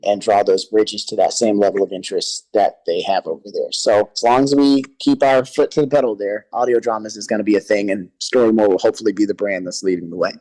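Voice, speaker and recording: A man's voice: on the low side, fairly even intonation, fast talker, hardly any pauses, mellow, low-quality recording. A man talking at length and promotionally as an interview guest. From United States. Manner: talkative, promotional. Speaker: podcast guest, audio drama creator. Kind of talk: interview talk.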